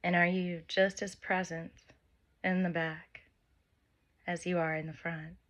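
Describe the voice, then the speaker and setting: A woman guides a meditation in a lowish voice that stays very level. She speaks very slowly, in gentle, short phrases with long pauses, giving soft instructions. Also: flat delivery. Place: by the open air with wind and birds.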